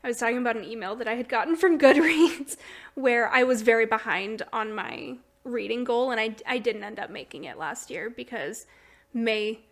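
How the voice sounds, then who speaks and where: A woman's voice: on the high side, normal speed. A woman hosting a podcast in a casual book chat, talking informally and chattily and laughing readily. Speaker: podcast host.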